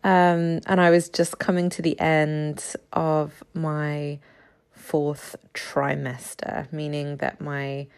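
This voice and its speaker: A woman in her thirties hosting a casual solo podcast, a little raspy from just waking up, chatty with frequent ums and small laughs. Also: low voice, level tone, slow, a little mellow. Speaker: podcast host.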